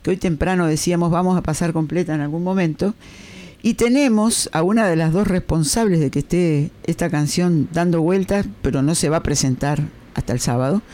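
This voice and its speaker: A man's voice: a little high, a little fast, mellow. A man hosting a radio interview, introducing his guest with enthusiasm. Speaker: radio host.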